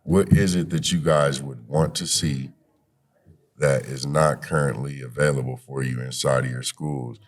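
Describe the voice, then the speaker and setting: A man's voice: rumbling low, steady tone, unhurried. A man speaking as a podcast guest and youth activist in a conversational, motivational way, encouraging and often saying "you know".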